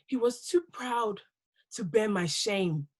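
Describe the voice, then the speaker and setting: A woman performing a dramatic stage monologue as a wounded, bitter wife, with deliberate, halting, impassioned delivery. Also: mid-range voice, animated, breathy edge. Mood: indignant. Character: wounded, bitter wife who survived violence and rejection.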